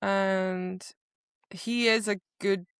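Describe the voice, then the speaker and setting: A woman's voice: medium pitch, fairly even intonation, drawn-out words, smooth, dry recording, clean studio sound. A woman co-hosting a podcast, recapping a story in casual chat and emphatically quoting the characters. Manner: emphatic retelling, acting out characters' dialogue. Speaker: podcast co-host.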